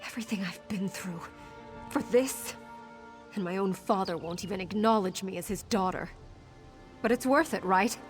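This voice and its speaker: A woman's voice: mid-range voice, moderate pace, close to an everyday voice. A young woman voicing a wounded, defiant scout and dutiful daughter in a video game, speaking in an earnest, dramatic way. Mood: hurt, pleading. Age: young adult. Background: game music.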